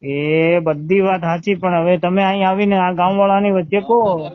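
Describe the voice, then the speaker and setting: A man with a rural eastern Gujarat accent talks on a recorded phone call in a high-pitched voice. He is hesitant and keeps his replies short and murmured. The recording sounds dull and lo-fi. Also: fairly even intonation, moderate pace. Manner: hesitant, mostly brief murmured acknowledgements. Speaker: elected local politician. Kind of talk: informal phone conversation. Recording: muffled, band-limited phone call recording.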